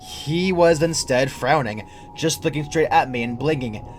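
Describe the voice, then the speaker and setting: A man's voice: medium pitch, a little slow, slight background noise. A young adult man reading a scripted first-person creepypasta as its narrator. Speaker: horror story narrator.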